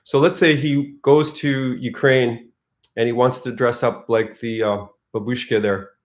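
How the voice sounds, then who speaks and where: A man's voice: medium pitch, fairly even intonation, a little slow. A man hosting a casual livestream, chatting loosely and reading comments aloud. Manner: loose, chuckling, reads chat aloud. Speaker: livestream host.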